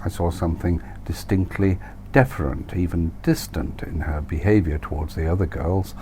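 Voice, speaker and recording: A man's voice: low voice, normal speed. A man reading his own novel aloud as scripted first-person narration in a wry, mannered way. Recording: clear steady background noise.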